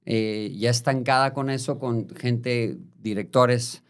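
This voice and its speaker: A man's voice: mid-range voice, steady tone, slow. A man hosting a podcast, asking questions and often chiming in with short agreeing replies. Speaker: podcast host. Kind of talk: casual interview.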